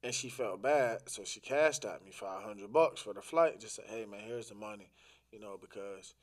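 A man's voice: on the low side, normal speed. A man talking casually as a podcast guest, telling a personal story in informal, slangy, sometimes profane language, acting out quoted dialogue and repeating phrases for emphasis.

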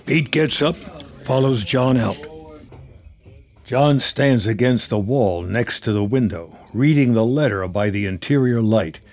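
A man reading screenplay action as scripted narration, in a measured, present-tense manner. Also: mid-range voice, darkish tone.

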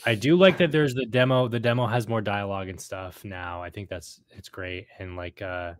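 Excitable, rambling man chatting on a podcast. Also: fairly low pitch, normal speed, no room sound. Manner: excitable, rambling, repeats phrases for emphasis. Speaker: podcast co-host. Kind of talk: casual, enthusiastic gaming podcast chat.

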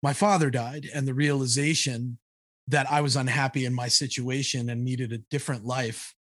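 A man in his thirties telling his own life story on a podcast, blunt and animated, laughing easily. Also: medium pitch, normal speed, dry recording, studio quality. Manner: blunt, animated, laughs often, frequent "like, you know". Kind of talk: candid conversational storytelling.

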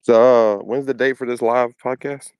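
A man's voice: mid-range voice, steady tone, moderate pace, darkish tone, clean tone, no echo. A young man co-hosting a podcast in casual, profane banter, laughing often between jokes. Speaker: podcast co-host.

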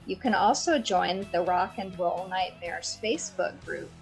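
A woman's voice: on the low side, measured pace, gravelly, faint music behind, rough recording. A woman hosting a podcast, handling interview questions and show announcements. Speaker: podcast host.

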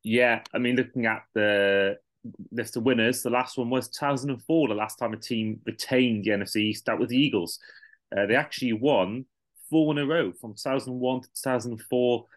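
A man's voice: medium pitch, fairly quick. A man hosting a podcast, talking through sport in a casual discussion style. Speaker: podcast host.

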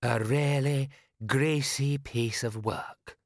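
A pompous aristocrat from an audiobook played by a man, pitched well up and full of disdain. He is haughty and scornful, heard in a dry, close recording. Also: fairly even intonation, slow pace, no room sound. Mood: disdainful. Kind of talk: audiobook character voice.

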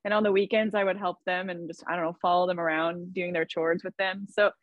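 A woman's voice: on the low side, fairly even intonation, fairly quick, dry recording, muffled recording. A woman from Idaho, a podcast guest, chatting in an upbeat way and laughing often. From Idaho, USA. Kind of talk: casual conversation.

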